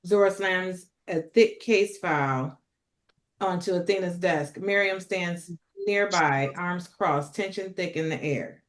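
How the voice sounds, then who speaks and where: A woman's voice: lowish voice, slow pace. A woman reading stage directions as the narrator of a script table read.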